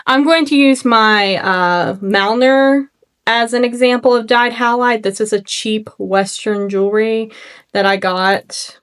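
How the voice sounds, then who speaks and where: A young woman with an Arkansas drawl and a slight lisp talks in a fairly high voice, chatty and self-deprecating. She rambles and trips over her words and laughs at herself. It is recorded on a phone at home. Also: fairly even intonation, a little slow, fairly bright tone, clear, resonant tone. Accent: Southern US, Arkansas. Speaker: makeup vlogger. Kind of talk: casual makeup vlog chat. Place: at home, seated. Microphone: phone camera.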